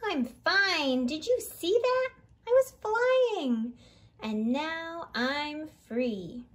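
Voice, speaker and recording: A woman's voice: high-pitched, lively intonation, extremely slow, heavily acted. A woman voicing a chipper, dramatic, easily alarmed talking hot dog in a childlike, exclamatory way. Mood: excited.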